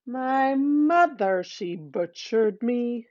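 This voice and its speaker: A woman's voice: on the high side, expressive, extremely slow, darkish tone, dry recording, voice pushed up. A woman voicing a sweet singing bird, the spirit of a murdered boy, chanting in a singsong way. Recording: dull, muffled recording.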